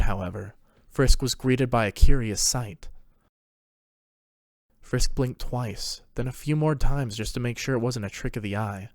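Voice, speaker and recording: A man narrates a story from a script. His voice is medium in pitch, recorded very dry with no room sound around it. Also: dry recording.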